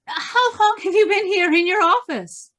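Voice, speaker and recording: A woman's voice: very high voice, normal speed, a bit bright. A woman acting an anxious, plucky detective's sidekick in an old-time radio drama, earnest and urgent. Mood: worried, urgent. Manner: urgent, questioning.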